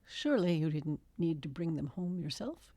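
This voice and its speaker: A woman's voice: low-pitched, lively intonation, normal speed, warm tone, no echo. A woman voicing a poised, frank noble lady in a storybook reading.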